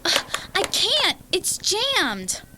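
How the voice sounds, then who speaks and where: Bold, curious girl for kids' horror tape: high, animated, exclamatory. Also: drawn-out words, background noise, full character voice. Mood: uneasy. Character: bold, curious young girl exploring a haunted mansion. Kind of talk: children's audio horror drama acting.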